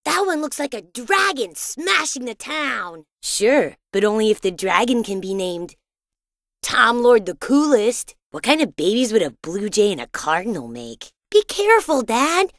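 A woman voicing a boisterous, imaginative and boastful young boy in a cartoon-style video game, with an excitable, dramatic, childlike delivery. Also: fairly high pitch, unhurried, dry recording, studio quality, exaggerated voice. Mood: curious, indignant. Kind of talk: animated video game voice acting.

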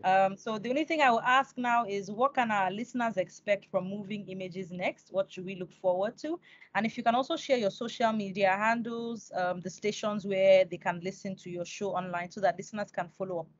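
A woman's voice: medium pitch, steady tone, a little fast, dry recording, muffled recording. A young adult woman, hosting a conversational interview on a video call. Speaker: interview host. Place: small indoor room, on a video call.

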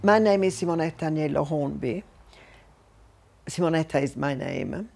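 An older woman from Sicily with an Italian accent, telling her life story in a measured, reflective way for a documentary interview in a living room. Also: sits low, gravelly, a little mellow. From Sicily, Italy. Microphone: lapel mic.

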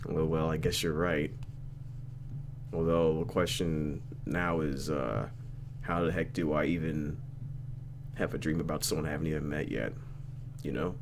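A man's voice: a bass voice, a little slow, long pauses, background noise. A young man doing a let's play, reading a visual novel aloud with playful, reactive commentary.

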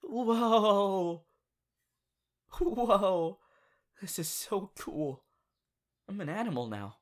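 A woman voicing an affectionate, excitable young male anime hero turned bunny in an ASMR roleplay, with a soft, hesitant delivery. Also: medium pitch, very slow. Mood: amazed, excited. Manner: hesitant, giggly, swinging from murmurs to excited exclamations. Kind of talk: ASMR audio roleplay, acted monologue.

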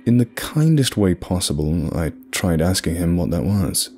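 A man narrating a horror story in a measured, scripted storytelling delivery. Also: deep voice, sing-song, darkish tone, slight background noise.

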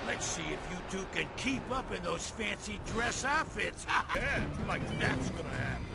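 An actor playing a brash, defensive male crime boss in a video game, gravelly and blustering in rough street talk. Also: high voice, expressive, normal speed. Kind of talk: video game cutscene acting. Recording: loud music behind the voice. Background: loud music.

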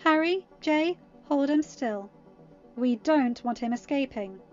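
A woman's voice: high-pitched, lively intonation, slow pace, lo-fi sound, faint music behind, pitched above the performer's own voice. A woman voicing a tough, commanding teenage girl with a temper, speaking firmly in a narrated story. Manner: firm, threatening, bossy.

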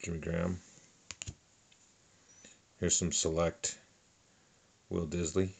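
A middle-aged man calmly listing sports cards one by one at a table, with a lot of fillers. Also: low voice, slow pace, creaky, breathy edge. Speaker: card collector. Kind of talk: casual card unboxing narration. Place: indoors at a table.

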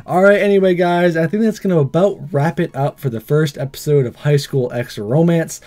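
A man's voice: fairly high pitch, normal speed, mellow. A man hosting a gaming video, reading a visual novel aloud and chatting casually to viewers. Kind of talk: narrated let's play, scripted reading and casual sign-off.

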